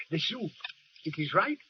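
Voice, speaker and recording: A humble old Swiss landlord in a radio play, his voice fairly high for a man. He talks in simple, fatherly, heard through a dull, slightly noisy vintage recording. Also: very animated, takes it slowly. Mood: gentle, kindly. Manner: simple broken phrasing, gently fatherly. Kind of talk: 1940s radio drama acting.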